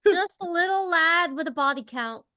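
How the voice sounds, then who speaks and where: Female cast member, high-pitched and bright, informal conversational delivery. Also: lively intonation, moderate pace. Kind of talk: casual chat.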